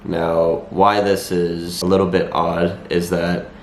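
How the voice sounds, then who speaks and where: A young man speaks in a low voice that is slightly raspy from a cold. He explains things to viewers in a matter-of-fact vlog style with little change in pitch. The room is echoey, with a faint noise behind him. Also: flat delivery, takes it slowly. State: has a cold. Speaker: vlogger. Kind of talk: talking to camera, explanatory vlog.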